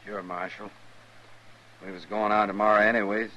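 An actor playing an evasive, unruffled miner passing through town, measured and plain-spoken, in a 1950s radio western. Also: male voice, fairly low pitch, little pitch movement, sharp tone. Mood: controlled, compliant. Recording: muffled, faintly hissy old radio recording.

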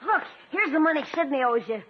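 Very high-pitched eager schoolboy. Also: lively intonation, fast talker. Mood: sly, teasing. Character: eager, wheedling young nephew, a schoolboy. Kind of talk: 1950s radio sitcom acting. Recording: dull vintage 1950s radio recording.

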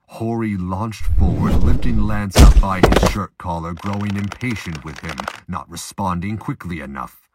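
A man with a husky voice reading scripted narration for an audio drama. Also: low voice, no echo, studio quality.

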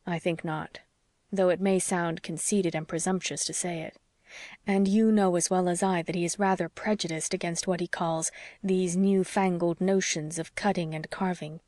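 A woman voicing an earnest, stubborn young male doctor who reasons in a measured, formal way, as in an audiobook. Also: on the low side, steady tone, a little mellow, dry recording, lightly acted. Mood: firm, reasoning. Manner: measured, formal, slightly stiff. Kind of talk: audiobook character dialogue.

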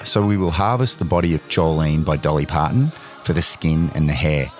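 A man's voice: rumbling low, a little fast. A man hosting a podcast about songs, narrating in a dry, playful, casual way. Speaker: podcast host. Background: music.

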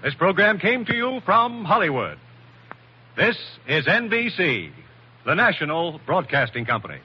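A man's voice: sits high, very animated, moderate pace, over music. An American man announcing a 1940s radio programme in a formal period style. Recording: dull 1940s radio recording.

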